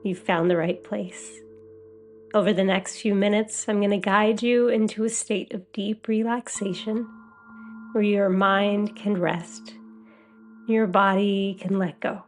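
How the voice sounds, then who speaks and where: A woman guiding a meditation as a hypnotherapist, with a soothing, gentle, unhurried delivery. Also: mid-range voice, level tone, a little hiss or hum. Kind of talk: guided meditation.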